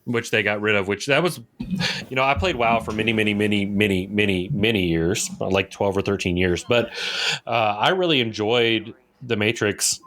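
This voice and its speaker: A man's voice: fairly low pitch, normal speed, a bit bright, slight background noise. Casual man co-hosting a podcast. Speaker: podcast co-host.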